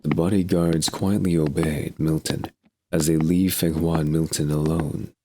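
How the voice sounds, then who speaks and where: A man's voice: a bass voice, level tone, measured pace, dark tone, slight background noise. A man narrating a scripted audio drama in a descriptive storytelling way.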